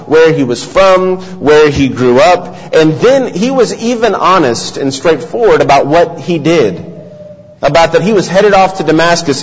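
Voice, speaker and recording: A man preaching from the pulpit, measured and earnest as he tells his story. His voice sits a little high for a man. The sound is muffled and rings with the long reverb of a large church sanctuary. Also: slightly rough. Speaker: pastor. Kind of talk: sermon.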